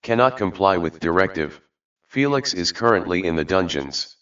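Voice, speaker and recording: Casting: male actor as a low-pitched, impassive palace computer, clipped and formal, slightly muffled sound. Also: expressive, normal speed, fairly bright tone, distant mic. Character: obedient, emotionless palace computer, deep male machine.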